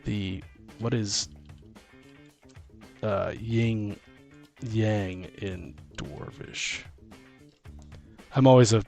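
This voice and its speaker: A man's voice: fairly low pitch, drawn-out words, creaky, long pauses, a little mellow, faint music behind. A man in casual podcast chat about tabletop gaming as co-host, informal and thinking aloud as he goes. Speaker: co-host and dungeon master.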